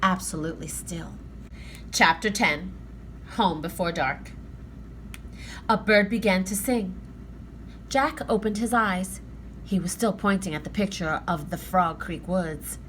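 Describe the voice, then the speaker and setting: A woman narrating a children's story aloud in an expressive read-aloud style. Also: on the low side, unhurried, long pauses, slight background noise, rough recording.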